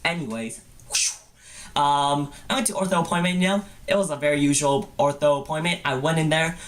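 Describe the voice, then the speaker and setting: A man's voice: fairly high pitch, a little fast. A young man telling personal stories in a goofy vlog style, with playful, exaggerated mock-cute phrasing. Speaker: vlogger. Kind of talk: comedic personal storytelling vlog.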